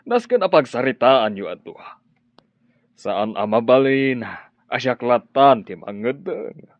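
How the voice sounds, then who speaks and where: A man's voice: medium pitch, animated, measured pace, no room sound, close to an everyday voice. A man playing a firm, cautious middle-aged father in a radio drama. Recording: dry muffled audio with faint music.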